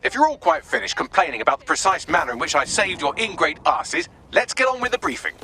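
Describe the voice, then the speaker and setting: A man's voice: on the high side, sing-song, fast talker, creaky, sharp tone, a little hiss or hum, exaggerated voice. A man voicing a prissy, sarcastic artificial intelligence assistant in a video game, articulate and fussy. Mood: exasperated. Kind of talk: video game cutscene acting.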